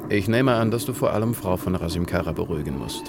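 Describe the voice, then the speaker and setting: Deep, gravelly, cautioning conspirator. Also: male voice, lively intonation, loud music behind the voice. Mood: measured. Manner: measured, admonishing. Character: earnest, cautioning male conspirator, measured and persuasive. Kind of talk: German radio drama acting. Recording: noticeable background noise.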